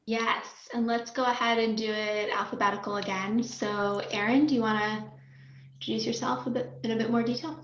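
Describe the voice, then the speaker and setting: A woman's voice: fairly high pitch, steady tone, a little fast. A woman casually hosting a table read, heard on a roomy, lo-fi microphone. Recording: roomy, lo-fi, faint noise.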